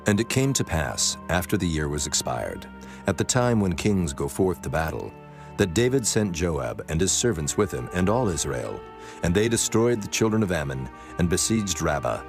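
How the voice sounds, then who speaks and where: A man narrating scripture from a script in a solemn, measured manner. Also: low-pitched. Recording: music bed under the voice.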